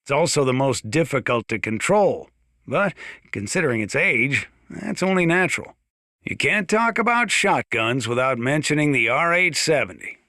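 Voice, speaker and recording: A man's voice: mid-range voice, animated, moderate pace, bright, forceful tone, no echo, clean studio sound. A man playing a folksy, gun-loving small-town sheriff in a video game, chatting boastfully in a conversational radio-style monologue. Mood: folksy, proud.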